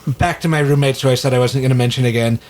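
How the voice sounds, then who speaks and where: A man with a slightly raspy voice, chatting casually as a co-host of a music discussion. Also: mid-range voice, fairly even intonation, racing, darkish tone. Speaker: podcast co-host. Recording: clear background noise.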